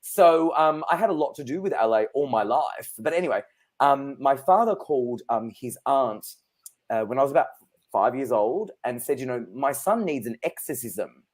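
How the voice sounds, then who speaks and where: A man's voice: mid-range voice, lively intonation, moderate pace. Chatty man in his thirties. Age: 30s. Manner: chatty, anecdotal, laughs easily. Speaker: psychic guest. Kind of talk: casual interview chat.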